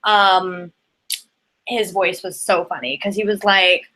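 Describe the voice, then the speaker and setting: A woman's voice: on the low side, steady tone, slow, pushed and bright. A woman co-hosting a live book show, chatting casually and informally, often dropping "like" into her sentences.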